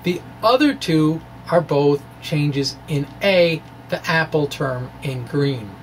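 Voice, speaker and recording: Slow-talking man teaching, faint background noise. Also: on the high side, animated, poor audio. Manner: playful, wry dramatic delivery. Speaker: physics presenter. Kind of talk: video lecture, part scripted reading, part informal explaining. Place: small indoor room with bookshelves. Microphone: microphone on a stand close in front.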